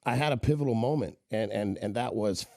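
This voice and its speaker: A man's voice: on the low side, brisk, gravelly, darkish tone, no room sound, studio quality. A middle-aged man telling long, rambling stories as a podcast guest, with many "right?" tags. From Kenya and the southern US. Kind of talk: casual storytelling interview.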